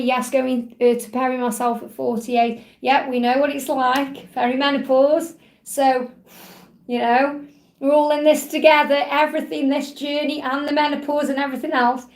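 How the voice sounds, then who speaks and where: A woman in her forties chatting casually and upbeat as host of a live video, reading out viewer comments as she goes. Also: sits high. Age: 40s. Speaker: livestream host. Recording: echoey room.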